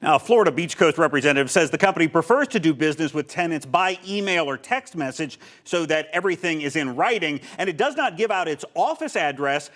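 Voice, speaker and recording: A male investigative reporter narrates a TV news story. His voice sits high for a man and swings widely in pitch, giving the report an animated delivery. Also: quick pace, few pauses.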